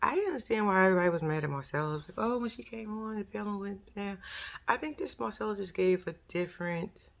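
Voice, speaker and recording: Raspy New York woman chatting. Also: medium pitch, fast talker. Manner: chatty, animated, slangy, acts out quotes. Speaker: YouTube commentary host. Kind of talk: casual commentary vlog.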